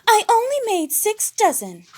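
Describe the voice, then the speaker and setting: Woman voicing a shrill, bossy little lady pig. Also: wildly swooping pitch, unhurried, heavily acted. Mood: huffy, indignant. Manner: shrill, huffy, emphatic.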